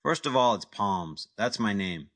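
A man's voice: fairly low pitch, normal speed, fairly bright tone, close to an everyday voice. A man voicing a brash, talkative male ex-actor in over his head.

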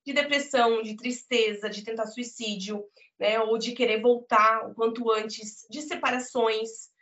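Woman from Brazil, earnest interview guest, slightly high voice, dull lo-fi sound. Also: fairly even intonation, a little slow, fairly bright tone. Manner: earnest, emphatic storytelling with frequent "né?". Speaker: podcast guest. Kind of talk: conversational interview. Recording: muffled lo-fi audio.

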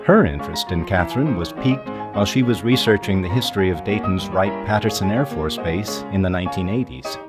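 A man's voice: sits low, a little fast, hardly any pauses. An older man narrating a scripted documentary podcast as its host. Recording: music behind the voice. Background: music.

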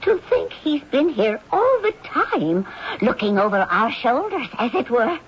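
A fretful housemate. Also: female voice, high voice, sing-song, unhurried. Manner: fretful and fussy. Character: nervous woman living in the haunted house. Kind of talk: 1970s radio drama acting. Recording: dull old radio broadcast with faint hiss.

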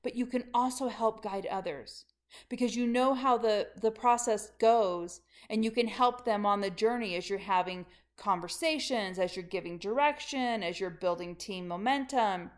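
A woman's voice: medium pitch, normal speed. A middle-aged woman coach telling her own story in a candid, emphatic podcast monologue, speaking straight to her listeners as friends.

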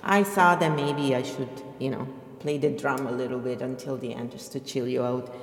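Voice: medium pitch, fairly even intonation, normal speed, faint music behind. A podcast host reading a book aloud and adding casual commentary, with emphatic stress on key words. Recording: echoey room with long reverb.

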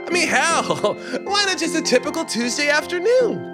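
Man playing an animated, exasperated everyman, pitched up. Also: music in the background. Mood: earnest. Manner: pleading, halting, stammering, emphatic. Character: exasperated, earnest everyman who champions everyday love. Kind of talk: comic audiobook dialogue.

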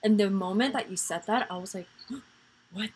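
A woman in her twenties hosting a podcast, chatting casually about faith in a soft, rambling way full of fillers. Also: mid-range voice, moderate pace, husky. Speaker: podcast host.

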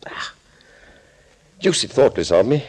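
An actor playing a suave, smug villainous doctor in a 1940s radio thriller, speaking politely and wordily. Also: male voice, mid-range voice, big pitch swings, takes it slowly, darkish tone. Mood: rueful, fussy. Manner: polite, wordy, theatrical confessing.

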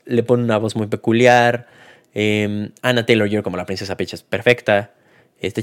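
A man's voice: on the low side, clear, resonant tone. A man giving a casual spoken film review, hesitant, with many fillers and restarts.

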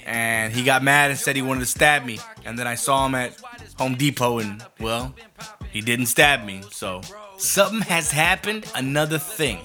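A man's voice: mid-range voice, normal speed, bright, forceful tone, faint music behind. A man hosting a show, giving a blunt, profane, comedic rant in casual talk.

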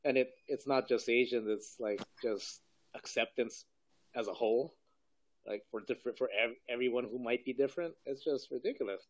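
A man's voice: mid-range voice, moderate pace, a little hiss or hum, rough recording. A man in a casual group conversation, sounding laid-back and a little exasperated.

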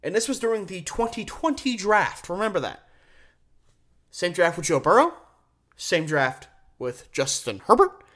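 A man's voice: high voice, big pitch swings, measured pace, pauses often, a bit bright. A man hosting a solo sports show, giving opinionated commentary with emphatic delivery and words stretched out for stress. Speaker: sports podcast host.

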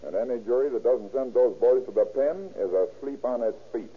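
An actor playing a methodical, self-assured police forensic expert in a 1930s radio drama. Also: male voice, medium pitch, a little fast, lightly acted. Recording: tinny muffled 1930s radio recording.

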